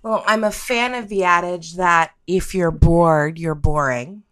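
Low-voiced American woman in casual podcast chat. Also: a little slow, fairly bright tone. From United States. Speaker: podcast guest discussing topic of the week.

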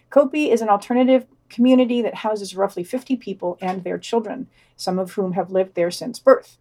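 A woman reads news summaries for a podcast in a flat, deadpan way. She adds brief wry comments after the quotes, her voice sitting at an ordinary middle pitch. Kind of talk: reading news summaries with dry asides.

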